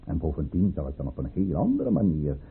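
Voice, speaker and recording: A man's voice: very deep voice, wildly swooping pitch, brisk, gravelly, husky, full character voice. A man acting in a Dutch radio drama as a scheming governor at the tsarist court, speaking in a formal, coldly commanding way. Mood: stern, controlled. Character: scheming, menacing male governor and aristocratic lover at tsarist court. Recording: muffled, tinny old radio play recording.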